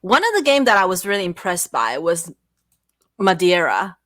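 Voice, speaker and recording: Bright dry-sounding woman, English not her first language. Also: fairly low pitch, moderate pace, no echo. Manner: lively, laughing, self-deprecating. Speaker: guest content creator. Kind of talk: casual livestream chat.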